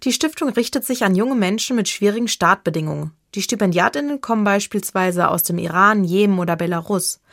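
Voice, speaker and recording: Gravelly-voiced woman reporter, dry studio. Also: medium pitch, dry recording. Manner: measured, even reading. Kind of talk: scripted radio feature narration.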